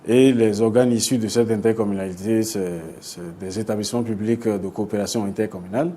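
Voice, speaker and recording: A man with a Beninese French accent giving explanatory interview answers as an expert guest, often halting and repeating words. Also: on the low side, steady tone, dark tone. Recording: clear background noise.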